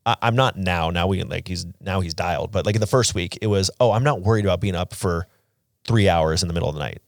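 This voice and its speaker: A man's voice: low voice, fast talker, vocal fry, no echo, clean studio sound. A man in his thirties co-hosting a podcast, talking casually and giving opinions with plenty of "like" thrown in. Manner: conversational, opinionated, peppered with "like". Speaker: podcast co-host.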